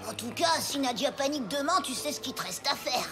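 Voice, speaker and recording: Young cartoon boy, high voice, naive, loyal sidekick, music behind. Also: lively intonation, a little fast. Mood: doubtful. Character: naive, supportive schoolboy best friend. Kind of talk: animated series dubbing.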